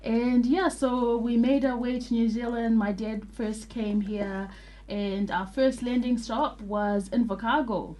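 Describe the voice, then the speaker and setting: A woman's voice: a little high, steady tone, measured pace. Friendly young woman from Zimbabwe. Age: young adult. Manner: warm, friendly, thoughtful storytelling with fillers. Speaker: guest. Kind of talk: interview answers.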